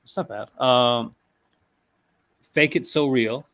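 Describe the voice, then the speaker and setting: A man's voice: medium pitch, takes it slowly, a bit bright. Casual man reading movie blurbs aloud. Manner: reads product blurbs aloud, stumbling and restarting, laughs often. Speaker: host. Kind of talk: casual chat.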